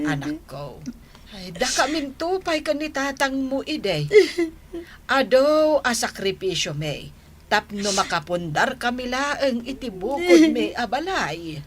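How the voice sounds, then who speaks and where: A woman's voice: fairly high pitch, full character voice. A woman playing a concerned middle-aged mother in a radio drama, advising earnestly and pleadingly with a Filipino accent. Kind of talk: Ilocano radio drama acting.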